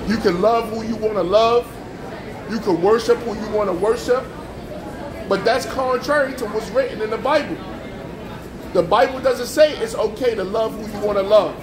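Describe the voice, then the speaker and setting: A man preaching on the street, forceful and repetitive, firing rhetorical questions at passers-by. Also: high-pitched, echoey room. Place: outdoors on a street with passing traffic.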